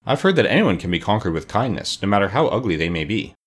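A man voicing a kindly, tender-hearted man made of tin in a storybook, gentle and earnest. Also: on the low side, very animated, fast talker, husky. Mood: hopeful. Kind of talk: storybook character voice.

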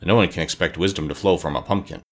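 A man voicing a pompous, self-satisfied, highly educated giant insect in a storybook. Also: fast talker, husky. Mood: smug. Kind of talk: storybook character voice.

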